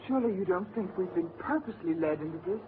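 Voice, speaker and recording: A woman's voice: fairly low pitch, expressive, quick pace, natural voice. An actress playing an anxious, horrified woman in melodramatic 1940s radio horror style. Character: anxious, horrified woman lost in a haunted forest. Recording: tinny, noisy 1940s radio recording.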